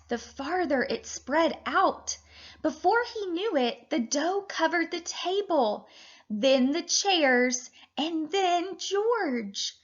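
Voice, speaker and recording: A woman in her thirties, a teacher reading and talking to children in an animated, deliberate way. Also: high-pitched, lo-fi sound. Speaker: teacher reading a picture book aloud to children. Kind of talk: expressive children's read-aloud and lesson.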